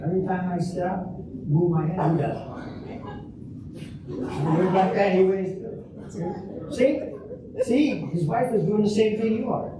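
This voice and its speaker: High-pitched, cranky old husband acted by a man. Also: measured pace, pauses often. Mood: puzzled. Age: older. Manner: repeats himself, stubborn, blustering. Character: stingy, cranky, scheming old husband in a comic sketch. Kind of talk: amateur readers' theater comedy. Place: live stage reading in a roomy hall. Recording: echoey room, distant mic, clear background noise.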